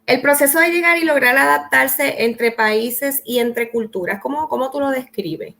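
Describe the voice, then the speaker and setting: A woman hosting an interview in a fairly high voice. She asks long, winding questions with frequent restarts and fillers. Her delivery sounds halting but friendly. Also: vocal fry, a bit bright. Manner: hesitant, many restarts and fillers. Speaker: host. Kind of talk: conversational interview.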